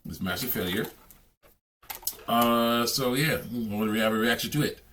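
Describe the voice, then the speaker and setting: A man's voice: mid-range voice, normal speed, fairly bright tone, slight background noise. A man hosting a reaction video, commenting casually on game cutscenes in a rambling, repetitive, exasperated way.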